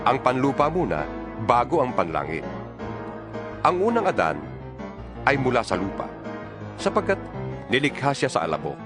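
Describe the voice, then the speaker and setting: Expressive Filipino actor as an earnest apostle over music. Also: male voice, mid-range voice. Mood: solemn, emphatic. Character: male apostle exhorting fellow believers in a letter. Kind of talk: dramatized audio Bible reading. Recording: music bed behind the voice.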